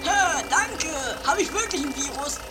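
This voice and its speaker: Woman putting on Heini, a whiny high-voiced prisoner. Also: lively intonation, a little slow, very noisy. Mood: bewildered. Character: Heini, whiny, dim, reluctant male prisoner. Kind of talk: improvised amateur trash comedy radio play.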